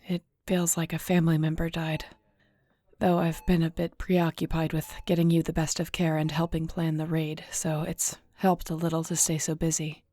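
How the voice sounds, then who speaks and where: A woman playing a fierce, protective, stubborn but tender wolf-shifter in an intimate audio roleplay, hushed and firm. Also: sits low, no echo. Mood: weary.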